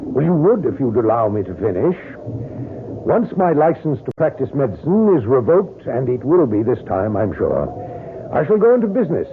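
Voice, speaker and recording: An actor playing a smug, coldly calculating gentleman doctor in a radio drama, suave and condescending. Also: mid-range voice, very animated, moderate pace, slight background noise. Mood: cold, self-satisfied. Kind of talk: old-time radio drama acting. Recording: tinny, narrow old radio recording.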